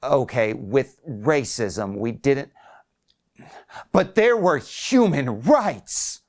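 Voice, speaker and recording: A man voicing an indignant, desperate older man with a blustering, halting delivery in a short story. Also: medium pitch, animated, voice pushed up. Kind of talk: acted short story dialogue.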